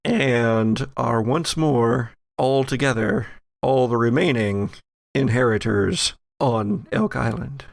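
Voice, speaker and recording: A man runs a tabletop horror game as its game master. His voice is mid-pitched and full of gravelly creak. The sound is close and dry. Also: slow, no echo. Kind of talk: narrating a tabletop role-playing game.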